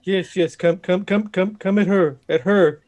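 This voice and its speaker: A man's voice: high voice, a little slow, darkish tone. A man joining in casual, jokey banter as a player in a tabletop game.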